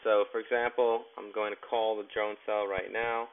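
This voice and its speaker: Through a narrow, phone-like recording, a man with a lowish voice presents a technical tutorial. He explains each step plainly and instructionally, in halting phrases. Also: flat delivery, vocal fry, clear, resonant tone. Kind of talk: step-by-step tech tutorial narration. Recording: tinny, narrow phone-like sound.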